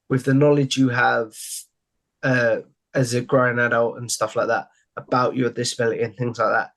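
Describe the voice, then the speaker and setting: Friendly young podcast host on a headset. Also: male voice, mid-range voice, fairly bright tone. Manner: friendly, rambling questions with repeated stock phrases. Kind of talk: casual interview questions. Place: home room. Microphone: headset on a video call.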